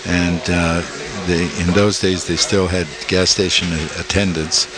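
An older man from Italy, a small press publisher, being interviewed and speaking haltingly with many fillers and restarts. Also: sits low, level tone. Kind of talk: interview conversation.

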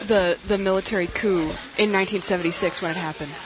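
Measured woman radio host. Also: lowish voice, fairly even intonation, poor audio. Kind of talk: radio interview.

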